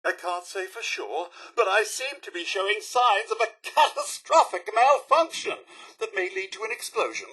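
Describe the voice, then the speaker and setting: A man's voice: sits high, big pitch swings, moderate pace, bright, forceful tone, husky, heavily acted. A man playing an anxious, polite robot butler in a video game, with a British accent, a robotic effect and a fussy, melodramatic, formal manner. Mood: anxious, pleading. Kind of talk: video game character voice lines.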